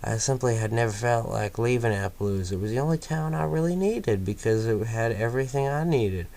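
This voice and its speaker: A man reading a first-person story aloud in a steady storytelling manner. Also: medium pitch, fairly quick, creaky. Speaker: fanfiction reader.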